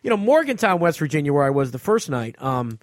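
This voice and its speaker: American man, fairly high voice, dry recording, informal storyteller, rambling, profane, casual podcast chat. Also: very animated, fairly quick, no room sound. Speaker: podcast host and touring comedian. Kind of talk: casual chat, telling stories.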